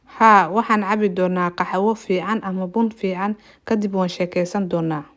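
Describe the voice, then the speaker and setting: A woman's voice: mid-range voice, fairly even intonation, normal speed, fairly bright tone. A native Somali-speaking woman teaching a language lesson, translating phrases and explaining individual words.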